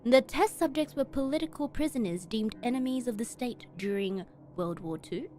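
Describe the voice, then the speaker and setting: A woman streamer reading a horror story aloud in expressive, dramatic narration with emphatic pauses. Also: fairly high pitch. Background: background music.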